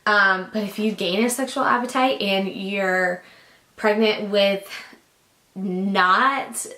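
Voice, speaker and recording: Young woman vlogger, casual and rambling, groggy raspy voice from a lingering cough, mid pitch, echoey room. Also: steady tone, slow, sharp tone. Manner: chatty, rambling, lots of likes and ums. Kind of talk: casual chat to camera.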